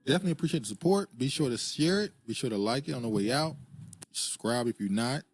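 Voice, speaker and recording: A man co-hosting a podcast in a laid-back casual chat, agreeing easily and signing off warmly. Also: mid-range voice, lively intonation, fast, slightly rough, soft background music. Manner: laid-back, affirming, signs off with blessings. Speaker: podcast co-host.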